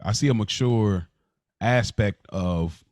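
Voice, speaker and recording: A man's voice: lowish voice, slow pace, a little mellow, no room sound, clean studio sound. An American man co-hosting a podcast, talking casually and reflectively, often repeating and rephrasing as he explains. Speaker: podcast co-host.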